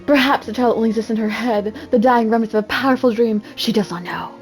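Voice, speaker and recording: A woman's voice: a little high, fast talker, soft background music. A woman giving a mock-dramatic amateur reading, theatrical and self-mocking, often breaking off into casual asides. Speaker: amateur reader.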